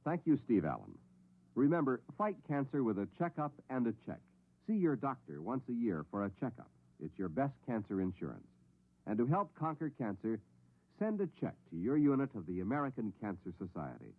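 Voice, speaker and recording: Male announcer with very lively, swinging pitch. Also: fairly quick. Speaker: radio announcer. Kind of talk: 1950s public service announcement. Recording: thin, phone-like old radio recording.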